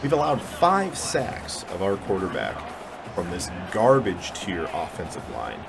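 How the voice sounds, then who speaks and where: Low-voiced man commentating a video game. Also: wildly swooping pitch, a little slow, vocal fry, over music. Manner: mumbly, self-deprecating. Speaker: gaming channel host. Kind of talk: casual let's-play commentary.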